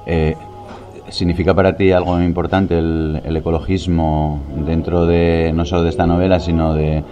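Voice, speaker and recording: A man's voice: low-pitched, level tone, moderate pace, warm tone, dull audio, faint music behind. A man interviewing an author, asking long questions hesitantly and repeating words as he goes.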